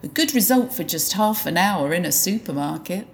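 A woman's voice: on the low side, animated, normal speed, gravelly. A woman playing a self-righteous narrator in an audio drama, speaking in a prim, gloating way about the murder she committed. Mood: smug. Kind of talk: amateur audio drama acting.

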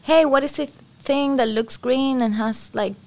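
A lively woman, talking in English as a guest on a podcast. Her voice sits a little on the high side, and she chats in a loose, expressive way. She laughs easily and keeps reaching for "like" and "you know". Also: unhurried. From Cuba. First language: Spanish. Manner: chatty and expressive, laughs often, many "like" and "you know" fillers. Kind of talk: casual conversation.

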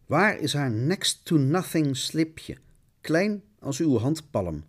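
A man's voice: a little high, very animated, slow. A man narrating a scripted radio essay in a melodramatic, mock-dramatic, declamatory manner.

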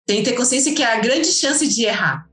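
A woman's voice: a little high, lively intonation, brisk, fairly bright tone, echoey room. A woman speaking as a guest historian in a casual interview, rambling with many restarts and fillers.

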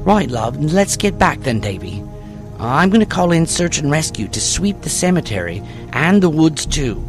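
A composed female police detective as a man puts her on in a narrated crime story. The voice is lifted high, brisk and motherly in manner, with music clearly behind it. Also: sing-song. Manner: brisk, calls people love and dear.

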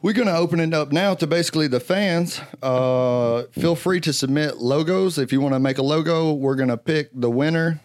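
A man's voice: on the high side, moderate pace, few pauses, no room sound, studio quality. Joking man co-hosting a podcast. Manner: joking, rambling, fillers. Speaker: podcast co-host. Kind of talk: casual chat.

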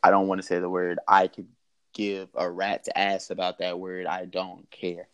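A man's voice: low-pitched, completely flat delivery, moderate pace, dull audio, natural voice. A male storyteller plays a naive man of thirty with a boyish streak. He blurts things out in repeated phrases, in an informal spoken tale. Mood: resigned, defensive. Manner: pleading, repetitive, blurting. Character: naive thirty-year-old man with an excitable inner child.

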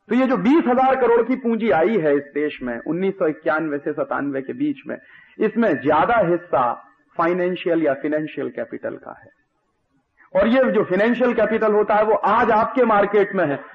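A man from India giving an impassioned lecture on public affairs, speaking emphatically with repetition and rhetorical questions, on a lo-fi recording. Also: sits high. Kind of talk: impassioned political-economic lecture. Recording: dull lo-fi radio-like audio.

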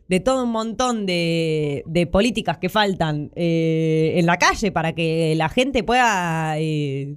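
A woman's voice: lowish voice, smooth. A woman with a Rioplatense accent, speaking with indignant emphasis in a casual panel discussion. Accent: Rioplatense Spanish.

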